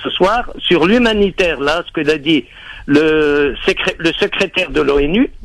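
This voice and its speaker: A man from Lebanon calling in to a radio show, talking in a halting, rambling way. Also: on the high side, fairly bright tone, no echo, rough recording. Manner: rambling, halting, heavy pauses. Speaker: radio caller. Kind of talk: call-in radio talk.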